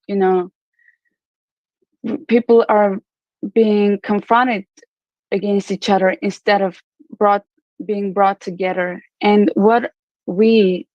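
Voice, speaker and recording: A woman speaks slowly in a level, even tone, pressing her point with earnest urgency and halting now and then on fillers. Her voice is dry and close, on dull, lo-fi audio. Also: mid-range voice, no echo. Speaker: conference participant sharing a testimony. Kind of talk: unscripted speech to an online audience.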